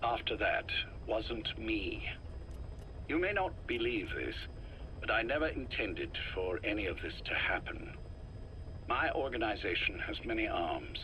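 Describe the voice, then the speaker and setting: A man playing a calm, calculating leader of a secret organization in a video game, speaking in a measured, commanding way. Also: fairly low pitch. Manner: measured, deliberate, cool and commanding. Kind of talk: dramatic video game voice acting. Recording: faint noise, recorded tape audio.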